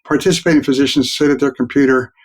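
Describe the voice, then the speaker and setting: An older man, a physician and researcher, explaining his work as an interview guest in a measured way. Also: medium pitch, fairly even intonation.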